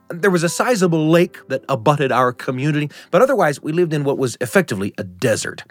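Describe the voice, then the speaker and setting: A middle-aged man hosting and telling stories in an expressive, deliberate way with dramatic phrasing. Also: medium pitch, no room sound, studio quality. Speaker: host. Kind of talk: warm conversational radio storytelling. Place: radio studio.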